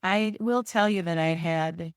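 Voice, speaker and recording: A woman's voice: on the low side, unhurried, clear, resonant tone, dry recording. A middle-aged woman co-hosting a podcast, telling her story in an earnest, reflective way. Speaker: podcast co-host. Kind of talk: casual chat.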